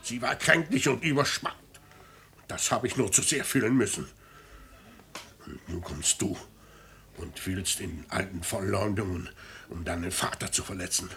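A man acting an aging, imperious merchant father in a classic radio drama, speaking in a measured, formal way that can flare into indignation. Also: low-pitched, animated, pushed and bright, slight background noise, heavily acted. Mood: indignant.

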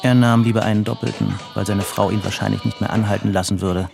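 A man's voice: fairly even intonation, fast, music in the background. A man narrating a literary radio drama about a tense road trip in a scripted storytelling style. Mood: measured, brooding. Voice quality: low, creaky.

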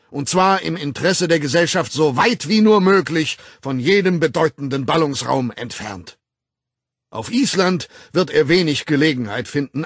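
A haughty male boss declaiming in a raised, slow, booming voice, as a narrator puts him on. The gravelly, husky tone stays close and dry. Every phrase sounds like a public condemnation. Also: dry recording, heavily acted. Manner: pompous, declamatory, slow and loud. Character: pompous authoritarian male director denouncing a subordinate. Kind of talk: audiobook character voice.

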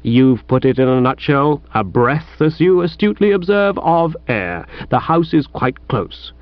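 A man voicing a breezy upper-class young gentleman in a comic audiobook, flippant and affable, with a British accent. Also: fairly high pitch, lively intonation, a little slow, no echo, lo-fi sound. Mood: airy, affable.

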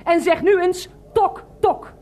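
An actress playing a zealous, preachy animal-rights activist in a radio play. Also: female voice, extremely high pitch, fairly even intonation, slow pace, darkish tone, slight background noise. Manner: preachy, insistent.

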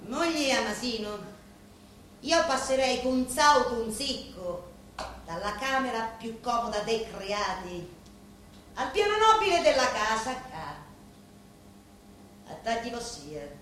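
An actress playing a proud, determined young Sicilian woman in a radio play, with a Sicilian accent and deliberate, dramatic delivery full of weighty pauses. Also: on the high side, expressive, a bit bright. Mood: solemn, calculating. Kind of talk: theatrical monologue in a radio play. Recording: very echoey room, faint background noise.

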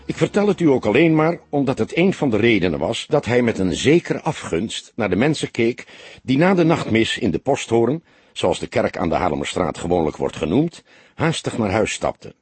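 A man the reading a crime story as an audiobook, in a measured, expressive storytelling manner. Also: medium pitch, slightly rough, no room sound. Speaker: audiobook narrator. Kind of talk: scripted literary narration of a crime story.

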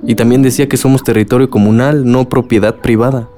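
A reassuring, principled young son in a radio drama played by a man, with a Mexican accent. His mid-range voice is earnest, and music plays clearly behind it. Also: mid-range voice, fairly even intonation, fast talker, close to an everyday voice. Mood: supportive, earnest. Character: supportive, principled adult son of village leader. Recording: music bed under dialogue.

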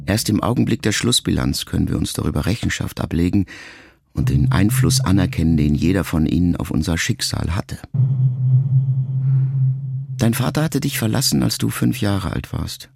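A middle-aged actor playing a reflective grown son who addresses his dying mother in a measured, intimate radio-play monologue, with a gravelly voice. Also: deep voice, expressive. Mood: probing, reflective. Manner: measured, introspective, intimate storytelling. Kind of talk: literary first-person monologue in a radio play. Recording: music under the voice. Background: music.

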